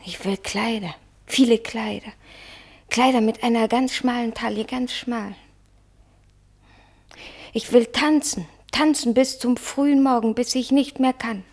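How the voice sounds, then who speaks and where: An actress in a German radio play as a restless, vain, stage-struck young wife, breathy and intense, often sinking towards a whisper. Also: female voice, on the high side, fairly even intonation, a little mellow. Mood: excited, craving. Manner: dreamy, intense, drawn-out phrases.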